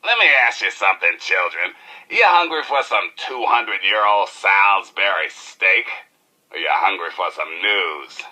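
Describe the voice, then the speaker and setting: Game radio DJ: American, cheeky rebel, hyped patter, low-ish voice with lively swoops. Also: male voice, normal speed, heavily acted, low-quality recording. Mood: hyped, playful. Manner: streetwise, playful, theatrical.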